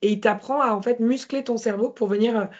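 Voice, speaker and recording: A woman's voice: fairly high pitch, fairly even intonation, fast talker. Reflective woman, dull band-limited sound. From Paris, France. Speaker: interview guest, entrepreneur. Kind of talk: conversational personal testimony.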